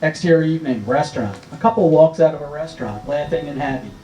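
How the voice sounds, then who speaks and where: A man's voice: on the high side, quick pace, dark tone. A man reading screenplay stage directions aloud as the narrator at a table read. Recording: roomy echo with clear background noise.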